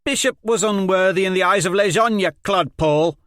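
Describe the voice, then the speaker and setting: A man's voice: a little high, steady tone, a little slow, dry recording, clean studio sound, pitched above the performer's own voice, lightly acted. A man reading a story, voicing a hot-tempered, pious teenage girl who scolds and threatens. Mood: angry. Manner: heated, scolding.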